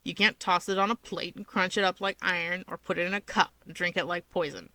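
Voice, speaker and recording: Casting: big gruff young male guild mage read by a woman, terse, introspective, secretly tender, story narration, dry close recording. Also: on the low side, fairly even intonation, a little fast, sharp tone, dry recording. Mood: matter-of-fact.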